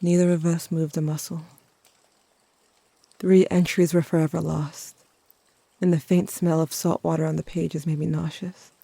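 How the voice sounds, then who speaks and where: Grieving teen narrating an audio drama, soft mellow tone, very dry close recording. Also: medium pitch, flat delivery, moderate pace, gravelly, pauses often, dry recording. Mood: reflective.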